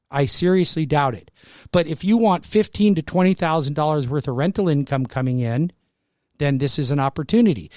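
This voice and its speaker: A man's voice: a little mellow, dry recording, studio quality. Salesy sixty-something man radio host. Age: 60s. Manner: salesy, pitching investment with emphatic repetition. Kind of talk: radio talk show.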